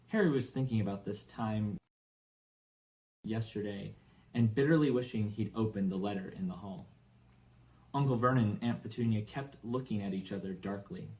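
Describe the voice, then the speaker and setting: A man reading a children's novel aloud as expressive scripted narration. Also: mid-range voice, poor audio. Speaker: audiobook reader for English learners.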